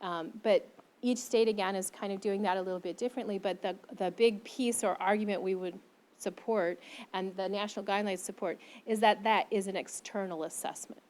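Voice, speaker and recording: A woman's voice: mid-range voice, fairly even intonation, fairly quick, darkish tone. A middle-aged woman presenter answering audience questions at a conference, explaining earnestly with frequent ums and restarts, into a handheld mic. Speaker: invited presenter, language teacher. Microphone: handheld microphone.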